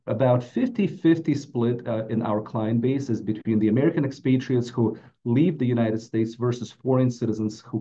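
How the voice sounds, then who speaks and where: A middle-aged man with a mellow voice, giving a prepared webinar presentation as a financial advisor. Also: mid-range voice. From Latvia. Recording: dull, muffled webinar audio.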